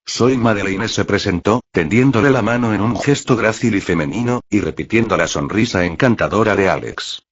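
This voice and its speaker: A low-voiced man narrates a scripted story in a husky tone. He reads the dialogue in his own narrating voice, as in an audiobook. The audio is dull and lo-fi. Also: normal speed, few pauses. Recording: muffled, roomy, lo-fi audio.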